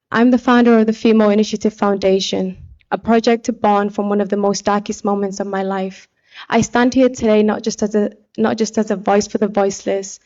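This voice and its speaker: A young woman from Somalia giving a personal testimony speech as an activist, speaking in an earnest, deliberate way. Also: mid-range voice, a little mellow, highs cut off. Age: young adult. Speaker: pageant contestant and activist speaker.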